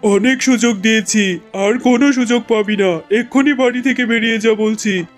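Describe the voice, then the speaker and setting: A man's voice: high-pitched, normal speed, soft background music, natural voice. A man voicing a blunt tiger uncle, a trader and moneylender in a cartoon, with a bossy, emphatic delivery. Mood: angry, threatening. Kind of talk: cartoon character acting.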